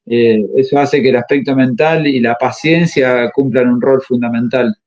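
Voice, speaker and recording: A man, a tennis coach answering interview questions and pausing often to find his words. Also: medium pitch, fairly even intonation, a little mellow. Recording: slightly muffled audio.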